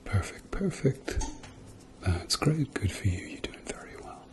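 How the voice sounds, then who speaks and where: A middle-aged man doing a softly spoken ASMR role-play as a doctor, murmuring reassurance to a patient. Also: mid-range voice, big pitch swings, measured pace. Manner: reassuring, murmured repeated encouragement.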